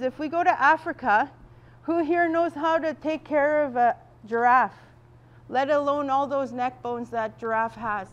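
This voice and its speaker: A woman leading a group workshop in a large room, projecting loudly without a microphone. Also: high voice, highs cut off. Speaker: workshop facilitator. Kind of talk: guiding a group exercise.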